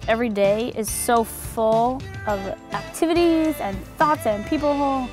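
An excitable young woman talking casually and emphatically about her trip. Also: fairly high pitch, unhurried. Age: young adult. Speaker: roadtripper. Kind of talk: casual reflective chat. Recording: loud music bed under voice.

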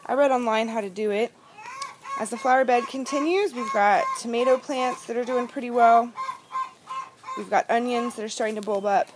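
An upbeat young woman with a fairly high voice walks through her backyard garden, chatting and pointing out plants. She records on a handheld phone outdoors, so noise and chickens clucking can be heard behind her. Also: normal speed. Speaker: vlogger giving a garden tour. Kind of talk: casual walking tour narration. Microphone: phone camera held in hand. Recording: outdoor phone audio with background noise, hand over mic at times.

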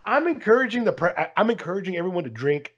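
A man's voice: high voice, sing-song, fast, fairly bright tone, lo-fi sound. A man co-hosting a casual podcast, rambling with lots of "like" and "dude" as he spins a story. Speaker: podcast co-host.

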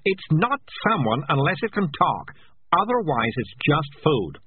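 A man's voice: medium pitch, very animated, moderate pace, narrow, tinny audio, background noise, close to an everyday voice. A man voicing a sly male talking cat for a storybook, glib, colloquial and self-justifying. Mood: indignant.

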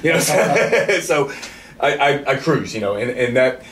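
A middle-aged man hosting a podcast, telling a casual story in an animated, excitable way, swearing freely and restarting sentences. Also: mid-range voice, measured pace. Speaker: podcast host. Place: indoor studio with camo backdrop, at a table. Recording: roomy and distant with faint noise.

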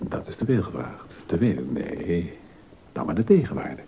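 An actor playing an exacting yet amorous baroque music master in a docudrama, giving short, deliberate instructions with pauses. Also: male voice, sits low, sing-song, slight background noise. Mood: playful, amorous. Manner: instructive, deliberate, pausing between short phrases. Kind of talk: Dutch radio docudrama acting. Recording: dull, roomy sound with music behind.

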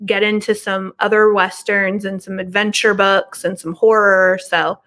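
A woman's voice: mid-range voice, little pitch movement, unhurried, fairly bright tone. A middle-aged woman chatting casually and informally as a podcast guest on a video call from her home. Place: living room at home.

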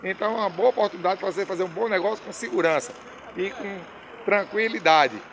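A man, pitching used cars in chatty, repetitive salesman patter, filmed on a phone at an outdoor car lot. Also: quick pace, vocal fry, fairly bright tone, a little hiss or hum. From Brazil. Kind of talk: casual sales pitch on camera. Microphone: phone camera at arm's length.

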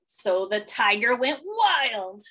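A woman in her thirties reading a children's picture book aloud expressively, with deliberate, simple phrasing and emphasis. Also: fairly high pitch, very animated, sharp tone. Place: small indoor room.

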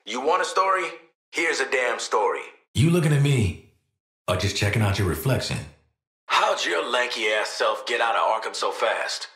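A cocky American hitman from a video game, a cynical man who is also a protective dad. His delivery is streetwise and wry, full of slang, with very varied intonation and a bright, forceful edge. The recording has some room echo around it. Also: mid-range voice, sing-song, normal speed. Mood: irritated. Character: cynical, cocky male assassin and protective father. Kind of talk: video game character voice lines.

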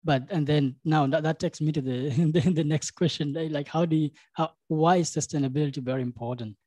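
A young man hosting a podcast interview, halting and full of fillers like "you know.". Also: a little high, fairly even intonation, dark tone, no room sound, studio quality. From South Sudan. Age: young adult. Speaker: podcast host. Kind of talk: casual interview. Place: home studio room. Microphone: studio microphone with headphones.

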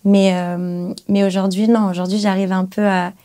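A young woman from Brazil, a native Portuguese speaker, chatting as a guest singer in a small music studio, relaxed, with many pauses. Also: medium pitch. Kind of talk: casual interview chat. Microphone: studio mic on stand.

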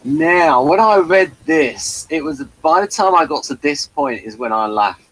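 A man's voice: on the high side, animated, measured pace, a bit bright, rough recording. A man hosting a casual review chat, explaining things in a rambling way.

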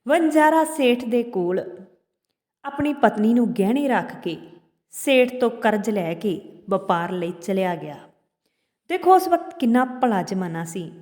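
A woman narrating a moral folk tale as a storyteller, delivering it deliberately, phrase by phrase with pauses. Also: mid-range voice, a little mellow. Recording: long hall-like reverb.

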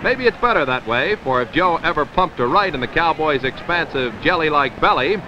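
A man's voice: fairly high pitch, lively intonation, brisk. An American man reading scripted 1950s sports newsreel commentary in a wry, wisecracking way. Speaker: newsreel narrator. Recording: dull, noisy old newsreel film sound.

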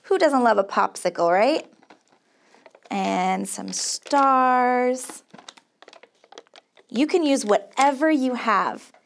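High-voiced American woman teaching kids crafts. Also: expressive, takes it slowly, vocal fry, long pauses, a little hiss or hum. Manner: encouraging, upbeat, sing-song praise. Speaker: children's craft show host, camp counselor. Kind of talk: step-by-step craft instruction for young children. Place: small indoor studio set.

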